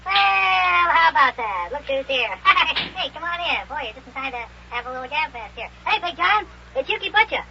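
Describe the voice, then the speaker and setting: A man voicing an excitable, scheming little elf boy in an old-time children's radio serial, with a small squeaky voice and chattery boyish slang. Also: normal speed, gravelly, hardly any pauses, background noise, exaggerated voice. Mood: chirpy. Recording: dull lo-fi vintage radio recording.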